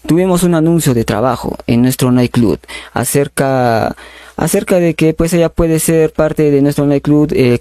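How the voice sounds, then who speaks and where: A young man making a prank phone call as a polite, insistent recruiter, halting and repeating his words. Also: medium pitch, warm tone, dry recording. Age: young adult. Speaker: prank caller. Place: small bedroom studio indoors. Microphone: condenser microphone on a boom arm, close.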